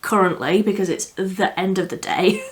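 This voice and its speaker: A woman with a slightly croaky voice from a cold, chatting candidly as a beauty vlogger reviewing makeup. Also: mid-range voice, fairly even intonation, normal speed. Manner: chatty and candid, with frequent fillers. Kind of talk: casual product review.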